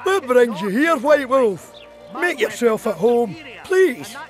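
A man's voice: extremely high pitch, sing-song, slow pace, faint music behind, full character voice. An actor playing a courteous non-human male trader of old curios in a video game. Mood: courteous, welcoming.

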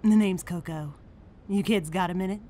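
A woman's voice: fairly low pitch, normal speed, dark tone, a little hiss or hum, natural voice. A woman playing a confident, challenging mentor in a superhero comic dub, with music underneath. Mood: cool, assured. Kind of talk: acted comic dub dialogue.

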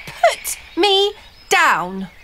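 A woman puts on a tiny storybook fairy girl, plucky and prim. The voice is high and swoops about as she makes her polite protests. Faint noise and birdsong are behind her. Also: huge pitch swings, slow pace, fairly bright tone, heavily acted. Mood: indignant. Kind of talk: storybook character voice.

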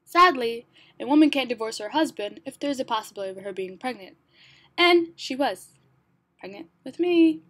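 Young woman, American accent, husky breathy voice, soft half-whispered reading, reflective with dry asides, close mic. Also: high voice, lively intonation, normal speed, no echo. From born in Somalia, raised in the United States. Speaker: narrator of her own digital story. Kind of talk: read personal narration.

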